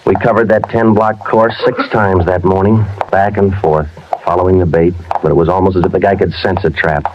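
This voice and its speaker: An actor playing a terse, businesslike American police detective in a clipped, matter-of-fact 1950s radio crime drama style. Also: male voice, low-pitched, steady tone, brisk, background noise, close to an everyday voice. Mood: weary, matter-of-fact. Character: terse, businesslike male police detective narrating his case. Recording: dull old radio recording, loud music.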